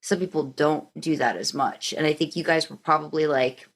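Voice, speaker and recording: A woman with a fairly deep voice hosts a relaxed group conversation. She talks in loose, rambling thoughts and encourages the people she is speaking with. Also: moderate pace. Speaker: podcast host. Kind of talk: casual group discussion.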